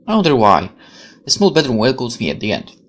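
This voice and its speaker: A gaming YouTuber reads visual novel text aloud and comments on it. The delivery is expressive, with very lively intonation, breaking off to exclaim and question the story. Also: mid-range voice, brisk. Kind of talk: let's play commentary, reading game text aloud.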